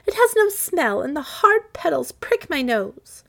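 A woman's voice: high-pitched, very animated, unhurried, creaky, pitched above the performer's own voice, heavily acted. A woman voicing a dutiful, dismayed little princess in a storybook, plaintive and full of exclamations. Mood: petulant.

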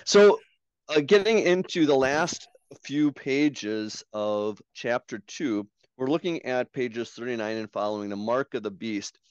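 A man's voice: mid-range voice, lively intonation, unhurried, clear, resonant tone, no room sound. A man who is a pastor and author, hosting a podcast and leading the conversation with stories and questions. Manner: storytelling, leads the talk, asks questions. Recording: slightly dull, lo-fi mic.

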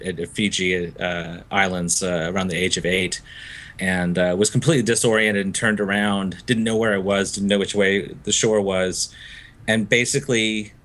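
A man's voice: lowish voice, fairly bright tone. A man from Florida, a novelist being interviewed, talking in a thoughtful, discursive way and laughing easily. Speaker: novelist guest. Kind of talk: interview conversation.

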